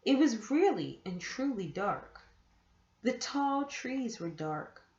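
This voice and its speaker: A woman reads a children's book aloud in a fairly high voice. Her storytelling is animated and swings widely in pitch. The sound is echoey, as if in a bare room. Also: slow, lo-fi sound. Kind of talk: expressive children's read-aloud narration.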